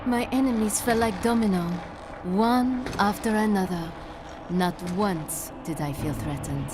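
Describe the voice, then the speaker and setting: An actress playing a seductive, menacing mercenary killer in a video game, with a languid, taunting, theatrical delivery. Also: female voice, medium pitch, unhurried, background noise, lightly acted. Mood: proud, reflective. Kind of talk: video game cutscene acting. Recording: music behind the dialogue.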